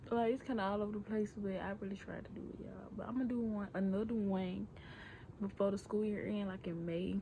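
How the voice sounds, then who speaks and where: Gravelly-voiced teen girl vlogger. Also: medium pitch, a little hiss or hum. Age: teens. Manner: chatty, rambling, addresses viewers as y'all. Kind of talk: casual chat to camera. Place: indoors at home, small room. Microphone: phone held close.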